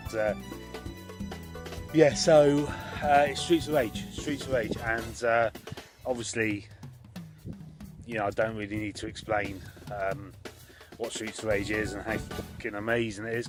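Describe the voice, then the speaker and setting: Middle-aged man chatting loosely outdoors at dusk. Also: on the low side. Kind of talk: casual chat. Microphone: phone or camera held at arm's length.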